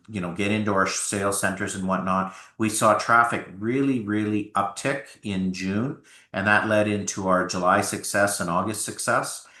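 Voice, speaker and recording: A man with a bright, forceful voice, slightly low in pitch, speaking upbeat and at length about business with frequent fillers. The sound carries a roomy echo. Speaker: home builder founder. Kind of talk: business interview.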